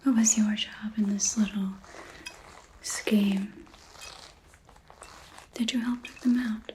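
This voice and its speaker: A woman playing a menacing, scornful vampire hunter in an ASMR roleplay, whispering slowly and softly, close to the microphone, as she questions a captive. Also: mid-range voice, steady tone, a little hiss or hum, natural voice. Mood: cold, probing. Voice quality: soft, breathy whisper. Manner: slow, deliberate, mocking questioning.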